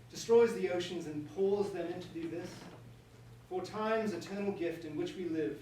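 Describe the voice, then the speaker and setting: A man's voice: sits high, darkish tone, natural voice. A man playing an ardent, courtly prince in a staged verse comedy, declaiming theatrically. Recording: echoey hall, far mic, faint noise.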